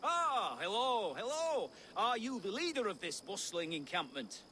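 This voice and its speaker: An exuberant video game trader who pitches his wares with florid showmanship. The voice is bright and forceful, leaping around animatedly over slight background noise. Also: big pitch swings, measured pace, full character voice. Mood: welcoming, boastful. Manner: theatrical, salesman-like, florid. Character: exuberant, grandiose exotic-goods merchant heralding his child master. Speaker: merchant. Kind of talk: video game character acting.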